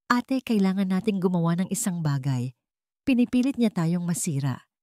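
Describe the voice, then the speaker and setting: A woman's voice: fairly low pitch, animated, moderate pace, warm tone, dry recording, natural voice. A defiant young woman played by a female storyteller confronts others with indignation, fiercely protective of her family. It is dramatic audio storytelling with a dry, roomless sound. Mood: urgent.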